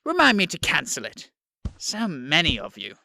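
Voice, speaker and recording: A swooping, sharply bright voice for a smug Prime Minister, recorded close and dry. He drawls his sarcasm slowly, bullying with mock courtesy in audio drama style. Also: on the high side, wildly swooping pitch, vocal fry, dry recording, full character voice. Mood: mock-polite menace. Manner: drawling, sarcastic, stretching words with pauses.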